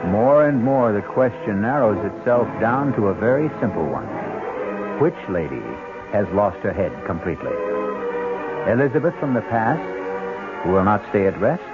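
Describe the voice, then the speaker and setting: A man's voice: lowish voice, lively intonation, normal speed. A man hosting a radio mystery series, reading suspense narration in a theatrical, ominous way. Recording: dull radio recording, music behind.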